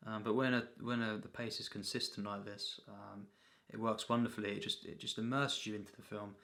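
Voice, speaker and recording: A man with a fairly low, creaky voice gives an off-the-cuff film review. His delivery stays in a narrow pitch band with a hard, forceful edge. He speaks haltingly, circling back and repeating himself as he goes. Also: level tone. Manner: halting, repetitive, frequent throat clearing and filler phrases. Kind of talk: unscripted, rambling film review.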